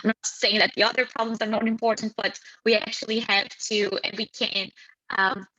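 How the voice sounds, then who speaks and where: A woman's voice: medium pitch, little pitch movement, fairly quick, bright, forceful tone. A woman moderating an online youth event, upbeat and chatty, with many fillers. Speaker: webinar moderator. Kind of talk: casual online event hosting. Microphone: video call. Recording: muffled online call audio.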